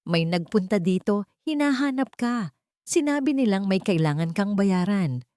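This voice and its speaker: Lady doing worried wife in a story drama, pleading, soft dark tone, dry sound. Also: mid-range voice, lively intonation, normal speed, no echo, pitched above the performer's own voice, lightly acted. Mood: angry, insistent. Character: anxious, pleading wife and mother confronting her husband.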